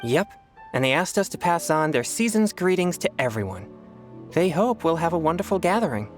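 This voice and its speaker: A woman's voice: lowish voice, sing-song, a little fast. Earnest, modest teenage boy. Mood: earnest, humble. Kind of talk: video game voice acting. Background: music.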